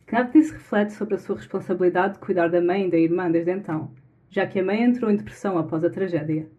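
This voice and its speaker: A woman's voice: fairly low pitch, quick pace, dark tone. A woman from Lisbon speaks with a European Portuguese accent as a podcast co-host. She comes across as modest and easygoing. Her voice comes through a thin, phone-like line. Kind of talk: casual podcast chat, summarizing a chapter.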